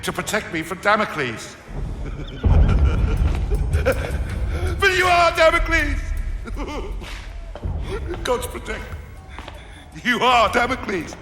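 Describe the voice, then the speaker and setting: An actor voicing an imperious, terrified Roman ruler in a video game, theatrical and on the edge of hysteria. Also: male voice, extremely high pitch, big pitch swings, takes it slowly, long pauses, exaggerated voice. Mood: hysterical terror. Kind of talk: dramatic video game acting. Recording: echoey hall with music behind.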